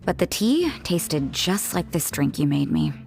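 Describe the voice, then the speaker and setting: A cool, blunt young nun. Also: female voice, low voice, huge pitch swings, measured pace, gravelly, darkish tone, faint music behind, full character voice. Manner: dry, offhand, blunt. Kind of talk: video game dub.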